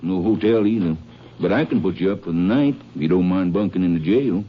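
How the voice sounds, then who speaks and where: Dull, slightly noisy old radio sound. A man plays a low-voiced American small-town constable, folksy and laconic, in 1950s radio drama style. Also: brisk, natural voice. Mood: helpful, easygoing.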